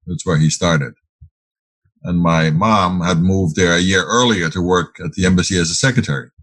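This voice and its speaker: A man's voice: deep voice, moderate pace, fairly bright tone, husky, no echo, low-quality recording. A middle-aged man whose first language is Dutch, answering interview questions in a rambling way, with many fillers and restarts. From Netherlands. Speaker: guest, business owner.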